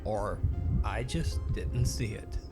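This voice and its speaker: A man narrating a scripted countdown of creepy videos in a measured, dramatic storytelling manner. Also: on the low side. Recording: music bed under narration. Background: music.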